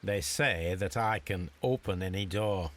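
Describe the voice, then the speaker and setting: An actor playing a shrewd detective in a video game, speaking in a measured, deliberate, precise way. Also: male voice, low voice, a bit bright. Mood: guarded, bargaining. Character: shrewd detective posing undercover as a lockpick thief. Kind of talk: scripted video game acting.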